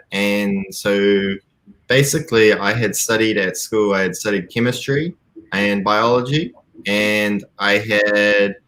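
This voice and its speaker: A man's voice: on the low side, fairly bright tone, rough recording. A young man from New Zealand, talking over a video call as an interview guest, thoughtful with frequent ums and restarts.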